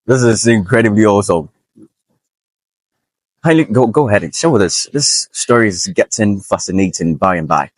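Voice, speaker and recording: A man's voice: on the low side, animated, normal speed, dry recording, low-quality recording. A man hosting an interview podcast, formal and lavish with praise for his guest. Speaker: podcast host.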